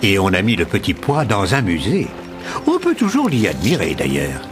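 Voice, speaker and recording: A man's voice: fairly low pitch, huge pitch swings, moderate pace. A man playing a playful fairy-tale storyteller narrating a cartoon. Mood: amused, conclusive. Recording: music and crowd noise behind.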